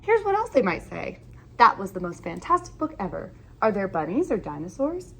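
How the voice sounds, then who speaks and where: A woman reading a children's picture book aloud in an animated, expressive storytelling manner with emphatic phrasing. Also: mid-range voice, normal speed, vocal fry, slightly rough.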